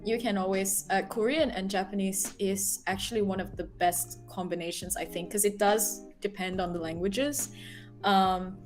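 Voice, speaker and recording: A woman co-hosting a casual chat, explaining things in an animated way with many fillers. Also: mid-range voice, moderate pace, faint music behind. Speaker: co-host.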